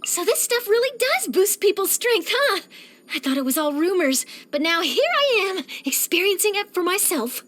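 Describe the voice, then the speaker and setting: Casting: young female game character, nosy cheerful journalist, high voice, very animated, theatrical and chatty. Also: moderate pace, full character voice. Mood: excited. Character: young female newspaper reporter, eager, curious, nosy, cheerful. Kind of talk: scripted video game character voice lines.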